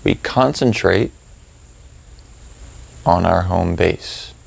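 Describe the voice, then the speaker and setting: Male meditation guide, calm, slow delivery with a creaky low register and roomy pauses between instructions. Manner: calm, unhurried, with long pauses. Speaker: meditation teacher.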